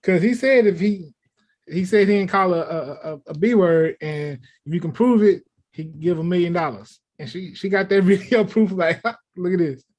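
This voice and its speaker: Higher-voiced man in casual discussion. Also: normal speed, a little mellow. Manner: colloquial, conversational. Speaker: talk show panelist. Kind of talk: casual opinionated chat.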